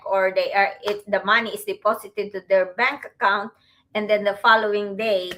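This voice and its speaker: A middle-aged woman with a Filipino accent hosting a livestream, talking to viewers in a reflective, halting way. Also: mid-range voice, a bit bright, poor audio. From Philippines. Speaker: host, online business owner.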